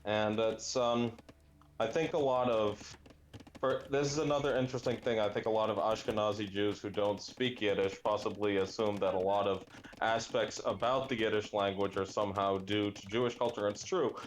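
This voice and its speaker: A young adult man chatting casually while playing a game, musing aloud and often pausing with fillers and restarts. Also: fairly low pitch, steady tone, a little hiss or hum. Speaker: language gaming guest. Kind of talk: casual chat while gaming.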